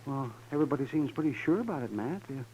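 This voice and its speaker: An American actor playing an old, chatty frontier town doctor in a 1950s radio western, raspy, folksy and fretful. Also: male voice, mid-range voice, expressive, quick pace, warm tone, slight background noise, natural voice. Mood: fretful, gossipy. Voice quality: raspy, husky. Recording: muffled 1950s radio recording.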